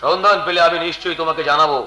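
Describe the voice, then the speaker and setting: An anxious, greedy younger son talks in theatrical folk opera style. The voice is high, hurried and bright, echoing on stage. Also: male voice, steady tone. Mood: anxious, questioning. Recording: echoey stage with faint music.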